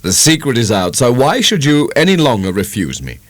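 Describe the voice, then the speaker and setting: A man voicing an ardent, tender young gentleman suitor in a dramatic audiobook, coaxing and affectionate. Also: mid-range voice, huge pitch swings, a little fast, no echo, pitched above the performer's own voice.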